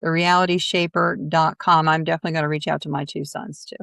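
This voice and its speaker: A woman's voice: low voice, little pitch movement, fast, gravelly, fairly bright tone, no room sound, clean studio sound. A middle-aged woman hosting a podcast, chatting casually with her guest in an effusive, encouraging way. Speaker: podcast host. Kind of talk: casual interview chat.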